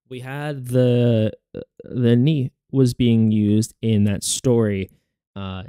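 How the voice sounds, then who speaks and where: A man's voice: lowish voice, mellow, clean tone, dry recording, clean studio sound. A man learning a language on camera, repeating words after the audio and puzzling over grammar aloud in a casual way. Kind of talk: casual study vlog, thinking aloud.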